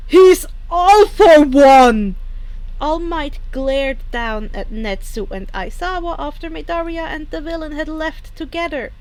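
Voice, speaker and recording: A woman narrating fan fiction as expressive storytelling, with character voices. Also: sits high, normal speed, few pauses, no room sound, poor audio.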